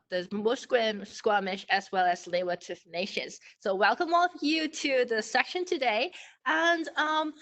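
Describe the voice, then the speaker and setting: A woman's voice: medium pitch, a bit bright. A woman hosting an online talk for an aquarium, welcoming and a little hesitant, with fillers, over a video call. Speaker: aquarium host.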